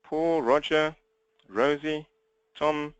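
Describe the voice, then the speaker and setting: Fast-talking South African man. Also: on the high side, steady tone, clean tone, lo-fi sound. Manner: fast-talking, upbeat and direct. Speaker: webinar trainer. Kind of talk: live webinar presentation. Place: at home desk speaking to laptop. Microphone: laptop.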